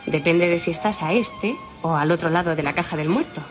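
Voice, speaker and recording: A woman's voice: lowish voice, brisk, close to an everyday voice. An actress playing a defiant young woman in a radio play, speaking. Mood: determined. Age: young adult. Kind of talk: Spanish radio theatre acting. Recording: music behind the voice.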